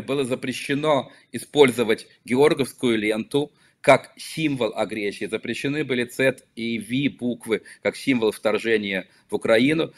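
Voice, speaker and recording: A middle-aged man from Belarus, a local politician, answering interview questions over a video call in a measured, emphatic way with some hesitations and self-corrections. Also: mid-range voice. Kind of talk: earnest remote interview answers. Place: office with small desk flags, indoors. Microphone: video call webcam.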